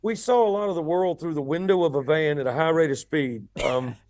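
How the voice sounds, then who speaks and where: A man with a raspy voice, a rock singer chatting casually as an interview guest, often repeating words as he starts his sentences. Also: on the high side, a little fast, a little mellow, dry recording. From United States.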